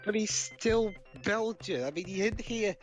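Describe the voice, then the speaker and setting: A man's voice: high-pitched, measured pace, soft background music. A man chatting casually and arguing a point as a co-commentator on a let's play. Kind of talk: casual gameplay banter.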